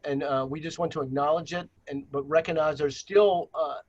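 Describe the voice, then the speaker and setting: A man's voice: a little high, steady tone, brisk, dull audio. Man presenting slides with many ums. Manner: hesitant, many fillers and restarts. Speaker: planning consultant leading a workshop. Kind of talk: presenting slides in a virtual meeting. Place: video call.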